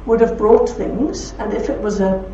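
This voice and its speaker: A woman with a broad Belfast accent speaking to an audience, telling anecdotes with warmth and laughter. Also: mid-range voice, normal speed, a little hiss or hum. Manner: warm anecdotal storytelling with laughs. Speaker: speaker at a talk. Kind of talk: talk with audience questions. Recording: roomy hall from far off, dull.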